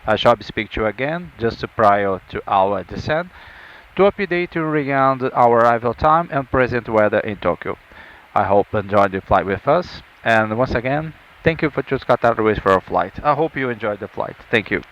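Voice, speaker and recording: A man's voice: mid-range voice, expressive, moderate pace, fairly bright tone. A man speaking as the airline captain gives a formal, courteous announcement from the flight deck over the PA. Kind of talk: pilot welcome announcement. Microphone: flight deck PA heard through cabin speakers. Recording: muffled aircraft PA.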